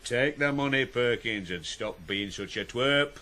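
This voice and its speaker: A brisk farm master in a radio play, his pitch leaping about animatedly. He gives orders and homilies in a commanding, sententious way, exasperated yet fatherly. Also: lively intonation, pushed and bright. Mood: paternal, chiding. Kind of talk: 1980s radio drama acting.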